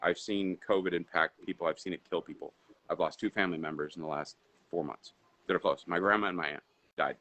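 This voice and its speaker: Rambling low-voiced man who grew up in Indonesia. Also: fairly even intonation, quick pace, sharp tone, poor audio. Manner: rambling, filler-heavy, restarts often. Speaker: guest entrepreneur. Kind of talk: casual live interview chat.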